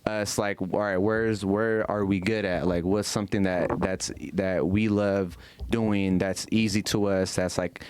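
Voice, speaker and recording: Man, low pitch, casual podcast talk, slangy, profane, opinionated, long-winded explanations. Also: level tone, a little slow, darkish tone, clear, resonant tone, no room sound. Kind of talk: casual group chat, opinionated.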